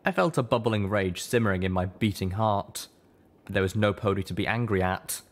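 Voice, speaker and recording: A man reading first-person fiction as an audiobook narrator, with measured, dramatic storytelling. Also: lowish voice.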